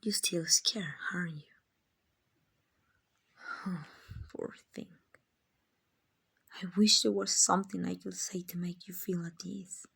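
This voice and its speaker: A gentle vampire mistress acted by a woman speaks in a slow, breathy hush, often close to a whisper. Her voice is on the low side, with plenty of creak. She is tender and reassuring throughout, in the manner of an ASMR roleplay. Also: steady tone, exaggerated voice. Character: kind, gentle female vampire mansion owner, soothing a captive.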